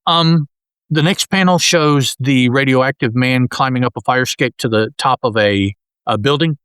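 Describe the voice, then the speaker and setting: A man's voice: mid-range voice, moderate pace, a bit bright, clear, resonant tone, no room sound, rough recording. A man co-hosting a podcast, describing comic panels in a casual, rambling way with many restarts. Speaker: podcast co-host. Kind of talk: casual comic-book discussion.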